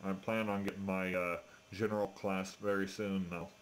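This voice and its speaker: A man chatting casually as an amateur radio operator, talking in a hesitant, halting way. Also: low voice, flat delivery. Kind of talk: casual ham radio chat. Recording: noisy amateur radio transmission.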